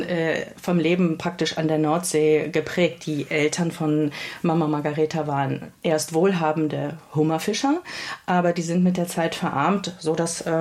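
A woman author answering interview questions at a measured pace, often pausing to search for words. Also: low-pitched, darkish tone. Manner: measured, with frequent hesitations. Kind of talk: thoughtful radio interview answers.

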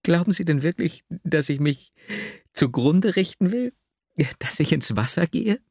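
Guy reading as a heartbroken young woman, pitched up, agitated and pleading, audiobook drama, dry sound. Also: lively intonation, unhurried, creaky, darkish tone, slightly rough, no room sound, full character voice. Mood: indignant. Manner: impulsive, emotional, exclamatory.

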